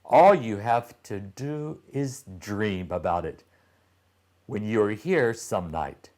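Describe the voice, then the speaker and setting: Guy doing a friendly island pal from a kids story, reassuring, talks real slow, bouncy pitch. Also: lively intonation, a little mellow. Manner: reassuring, explaining.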